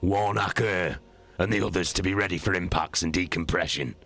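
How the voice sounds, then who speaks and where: A man's voice: steady tone, normal speed, gravelly, a bit bright, full character voice. A man doing a growling grim battle captain. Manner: low growling, flinty. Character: grim, menacing armored male battle captain.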